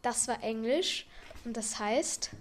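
A young teenage girl with a fairly high voice presents a classroom language quiz in a school radio piece. Her delivery is clear and teasing, with faint noise in the background. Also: slow pace. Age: young teen.

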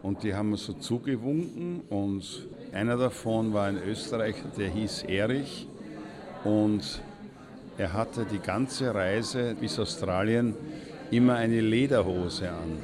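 A man's voice: fairly low pitch, unhurried, a crowd in the background. A man telling an anecdote in a casual, conversational way.

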